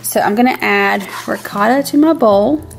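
A woman's voice: medium pitch, normal speed, vocal fry. A woman in her thirties hosting a home cooking vlog in a chatty, informal way, narrating casually as she goes. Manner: chatty, informal, laughs easily. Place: home kitchen.

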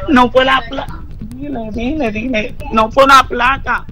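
High-voiced, nervous young woman on the phone. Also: steady tone, unhurried, pushed and bright. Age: young adult. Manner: pleading, repetitive. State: nervous, distressed. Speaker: prank call target. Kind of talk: phone conversation, protesting. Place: on the other end of a phone call. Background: music.

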